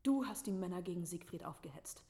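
A woman's voice: on the low side, animated, brisk, warm tone. A woman playing an anguished, accusing wife in a fantasy radio drama, theatrical and emotionally raw. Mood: bitter, accusing. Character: Gudrune, anguished, accusing young wife turned widow. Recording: long reverb with music behind.

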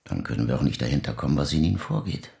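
A man playing a calm, patient psychoanalyst in a naturalistic radio drama, measured and probing. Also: rumbling low, gravelly, exaggerated voice.

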